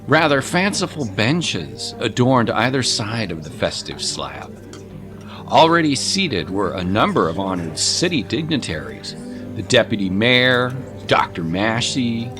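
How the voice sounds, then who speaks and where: A man narrating a scripted story in a measured, literary and expressive dramatic style. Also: medium pitch, over music.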